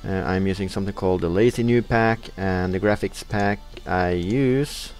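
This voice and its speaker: Norwegian guy, pretty deep voice, lots of uhs, chatting over his game with soft music under it. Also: normal speed. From Norway. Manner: hesitant, many uh fillers, reading in-game text aloud. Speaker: let's play host. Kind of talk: casual game commentary.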